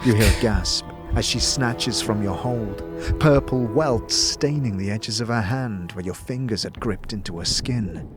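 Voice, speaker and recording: A man narrating a scripted fantasy audio drama in the second person, with measured, descriptive storytelling. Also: fairly low pitch. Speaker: narrator and game master. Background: music.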